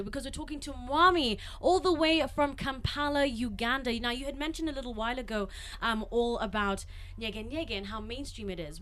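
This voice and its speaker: A woman's voice: on the high side, fairly bright tone. A woman hosting a radio talk show, chatty and upbeat, plugging the station and asking the guest questions. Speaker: radio host.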